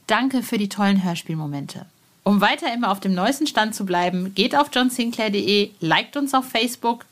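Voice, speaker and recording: A woman's voice: medium pitch, fairly bright tone. A woman hosting a podcast in a chatty, informal and enthusiastic way, addressing listeners and guests directly. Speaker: podcast host and music journalist. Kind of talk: upbeat podcast hosting and interview.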